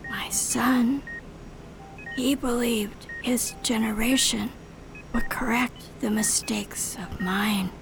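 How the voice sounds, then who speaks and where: Dying cyborg dean lady, super slow whispery halting talk, high-ish voice, noisy bg. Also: exaggerated voice. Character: dying half-robot woman dean, weary last survivor. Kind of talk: radio drama acting. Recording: clear background noise.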